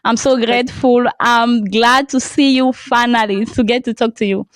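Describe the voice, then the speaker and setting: A young woman from Cameroon, a French speaker with a Cameroonian accent, talking as a podcast guest in a halting way and laughing often. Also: fairly high pitch, steady tone, no room sound. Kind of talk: casual interview talk.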